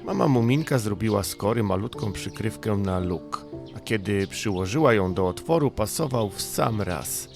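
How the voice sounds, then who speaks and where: A man's voice: on the low side, lively intonation, normal speed, creaky. A man narrating a children's audiobook as a bedtime story, with music playing behind him.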